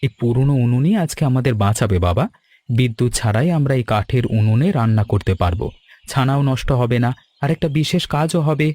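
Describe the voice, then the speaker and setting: A man's voice: mid-range voice, brisk, dark tone, dry recording, close to an everyday voice. Man acting as earnest grown son, cartoon drama. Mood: calm, reassuring. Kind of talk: Bengali cartoon dialogue.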